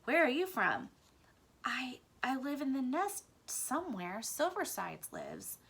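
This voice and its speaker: A woman's voice: high-pitched, very animated, slow pace, gravelly, a bit bright, husky, pitched above the performer's own voice, exaggerated voice. A woman voicing a timid, earnest male pet mouse with hesitant, formal, plaintive speech in a children's story. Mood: weak, grateful. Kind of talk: children's book read-aloud.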